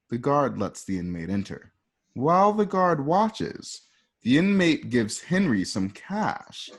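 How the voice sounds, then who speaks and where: A man's voice: fairly low pitch, slightly rough. Conversational man narrating drama scenes. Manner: conversational, storytelling. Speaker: narrator reading stage directions.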